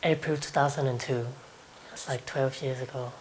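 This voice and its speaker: A man's voice: mid-range voice, fairly even intonation, moderate pace, a little hiss or hum, low-quality recording. A man in his twenties from Thailand, speaking with a Thai accent and answering interview questions hesitantly, with frequent ums and light laughs.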